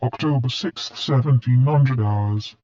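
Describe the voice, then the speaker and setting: Man doing male log computer voice, flat n formal timestamps, muffled lo-fi, really noisy, audio drama. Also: mid-range voice, measured pace, natural voice. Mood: impersonal. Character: impersonal log machine announcing dates and times. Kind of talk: clipped timestamp announcement. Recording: dull lo-fi audio, loud noise and beeps.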